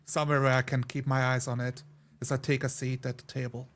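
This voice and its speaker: A man with a middling pitch and a flat, even delivery plays in a tabletop roleplay. He describes his character's actions as a player would, in a plain spoken way. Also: fast talker.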